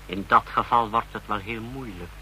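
An actor playing an eager male officer reporting to his superior in a vintage radio drama, brisk and deferential. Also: fairly low pitch, sharp tone, a little hiss or hum, poor audio. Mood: scheming, deferential.

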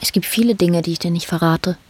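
A woman's voice: on the low side, fast, darkish tone, husky. An actress playing a guarded, blunt, worldly woman in radio drama dialogue. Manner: evasive, blunt.

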